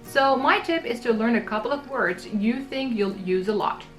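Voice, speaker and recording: A woman from the Netherlands in her thirties giving travel tips in a scripted vlog, explaining clearly and practically. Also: on the high side, normal speed, faint music behind. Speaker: travel vlogger.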